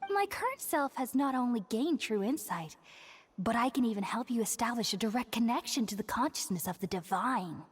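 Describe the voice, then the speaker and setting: A woman's voice: on the high side, lively intonation, brisk, a little hiss or hum, close to an everyday voice. An actress playing a devout young female scholar in a video game, effusive and reverent in manner. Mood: eager.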